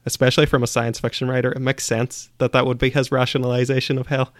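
A man's voice: medium pitch, flat delivery, quick pace, no room sound, studio quality. A man co-hosting a casual book discussion, giving opinions in hesitant phrasing with repeated words. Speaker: podcast co-host.

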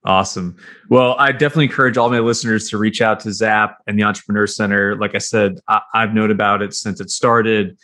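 Bright-voiced upbeat male host. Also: on the low side, fairly even intonation, brisk. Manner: upbeat, folksy, wraps things up with praise. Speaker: podcast host. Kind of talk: casual chat.

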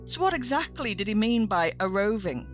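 A woman's voice: mid-range voice, sing-song, moderate pace, soft background music. Cheeky woman hosting a chatty podcast. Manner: cheeky, exclamatory, self-mocking. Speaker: podcast host.

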